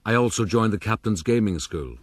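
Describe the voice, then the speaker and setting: An urbane rogue looking back on his youth in a radio play. His voice is low and recorded dry and close, with formal, wry storytelling. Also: animated, fairly quick, husky, no room sound. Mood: reflective, urbane. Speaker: narrator. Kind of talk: scripted first-person radio drama narration.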